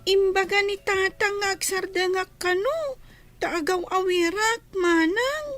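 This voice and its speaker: A woman's voice: very high voice, unhurried, a bit bright, soft background music. An actress voicing a forlorn young schoolgirl, a plaintive little sister in a radio drama. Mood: tearful, worried.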